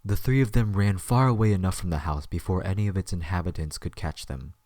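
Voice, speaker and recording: A man's voice: deep voice, fairly quick, a little mellow, no echo, studio quality. A man narrating a scripted story as the narrator of an audio drama.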